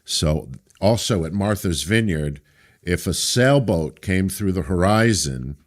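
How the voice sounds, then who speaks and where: A man from Brooklyn, a theater director being interviewed, with a deep voice and a thoughtful, storytelling manner over a video call. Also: expressive, slow pace. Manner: thoughtful, anecdotal, hesitant starts. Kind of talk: casual interview.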